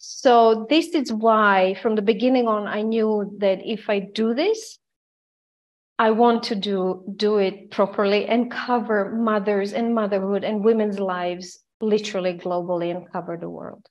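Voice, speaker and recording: A woman's voice: mid-range voice, fairly even intonation, measured pace. A woman from Croatia, a journalist and author, speaking earnestly and reflectively as a guest on an interview podcast, often restarting her sentences. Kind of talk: conversational interview storytelling. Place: home in Vienna, remote call.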